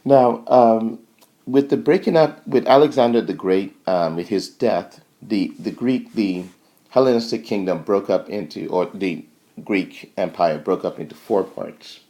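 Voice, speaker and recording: Male lecturer, low-pitched with plenty of fry, informal and halting explanatory delivery. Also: dark tone. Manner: halting, thinking aloud, checks in with "okay" and "right".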